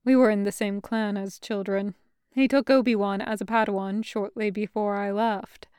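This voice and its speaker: A woman's voice: mid-range voice, fairly even intonation, moderate pace, darkish tone, clean tone, dry recording, studio quality, close to an everyday voice. A woman voicing a matter-of-fact male Jedi ghost, plain and informative, in a sci-fi audiobook.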